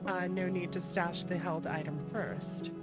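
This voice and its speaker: A woman streaming a game, reading its text aloud and reacting with expressive, playful asides. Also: lowish voice, measured pace. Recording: music behind the voice. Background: game music.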